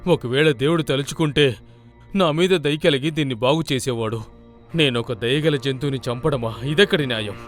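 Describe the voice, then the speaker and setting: Cartoon lion, male actor: medium pitch, remorseful, dramatic, sincere delivery. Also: moderate pace, soft background music, lightly acted. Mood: troubled. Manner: earnest, dramatic. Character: lame male lion, conflicted and remorseful, in a children's fable. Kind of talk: animated children's story acting.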